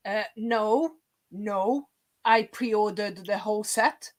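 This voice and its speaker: A woman's voice: medium pitch, slow pace. A woman in her thirties with a Hungarian accent, chatting casually as a podcast guest. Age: 30s. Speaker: podcast guest and toy collector. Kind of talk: casual group chat.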